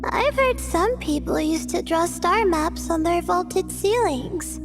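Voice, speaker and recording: A woman voicing a shy, dreamy young student of the stars in a game, soft-spoken and hesitant. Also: very high-pitched, lively intonation, slow pace, music in the background, exaggerated voice.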